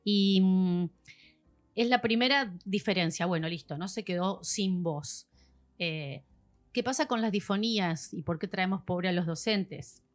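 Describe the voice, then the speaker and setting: A woman's voice: medium pitch, a little slow, soft background music. A woman, a voice specialist guest explaining patiently in a podcast chat, like a teacher. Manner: patient, teacherly, acts out examples.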